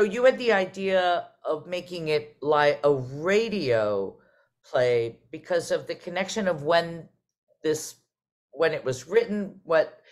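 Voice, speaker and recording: A middle-aged woman hosting a panel conversation over a video call in a welcoming manner. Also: low voice. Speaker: host, theater artistic director.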